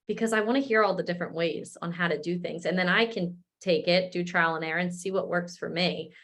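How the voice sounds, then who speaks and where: A woman in her thirties, a wellness coach, talking in a relaxed, casual way with lots of fillers. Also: lowish voice, a little fast. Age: 30s. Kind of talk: casual chat.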